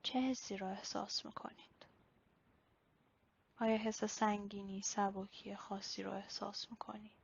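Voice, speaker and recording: A woman leading a guided body-scan meditation in a slow, instructional way with pauses. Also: medium pitch, level tone, gravelly, slightly rough. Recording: slightly dull, lo-fi recording.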